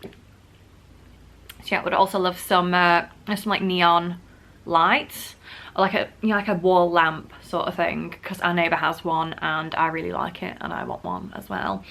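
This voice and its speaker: A woman's voice: on the low side, moderate pace, creaky, fairly bright tone. A woman vlogger, sick and wheezy with a bad cough, chatting casually to camera and poking fun at herself. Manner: chatty, self-mocking, many "like" fillers.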